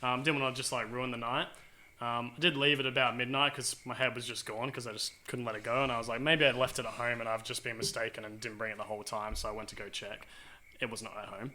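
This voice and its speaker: A man tells a laid-back, animated story on a casual podcast. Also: mid-range voice, a little hiss or hum. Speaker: podcast co-host.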